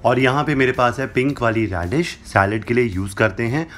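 A young man hosts a home cooking show in an instructive, steady way, dropping English phrases into his explanations. His voice sits at an average pitch. Faint music plays softly beneath him. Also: fairly quick. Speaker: cooking channel host. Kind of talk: recipe demonstration voice-over. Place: home kitchen with brick wall.

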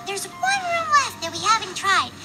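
A woman voicing a tiny, excitable fairy-like girl companion in a video game, with a squeaky, childlike voice. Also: moderate pace. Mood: chirpy, insistent. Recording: game music over the voice.

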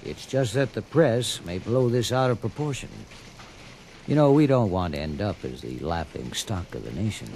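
Deep, gravelly police officer. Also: male voice, animated, normal speed, a little mellow, slight background noise. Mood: cautious. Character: cautious male police officer, discreet and official. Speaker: actor. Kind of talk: 1970s dramatised story record acting.

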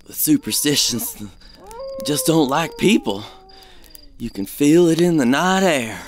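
A man's voice: fairly high pitch, expressive, measured pace. Guy doing a superstitious scared prospector sidekick, rustic and folksy, voice swings around a lot, radio play. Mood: ominous. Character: superstitious, fearful male treasure hunter, wary sidekick. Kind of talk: audio drama acting.

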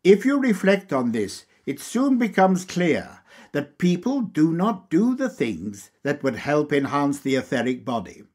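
A man reading a lecture aloud as scripted narration, in a measured, deliberate, explanatory manner. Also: a little high, big pitch swings, a little mellow, breathy edge. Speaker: audiobook reader.

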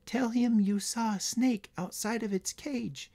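Man putting on a high, insistent little ladybug. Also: unhurried, darkish tone, full character voice. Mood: coaxing. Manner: coaxing, insistent. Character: small insistent talking ladybug, coaxing telepathic helper.

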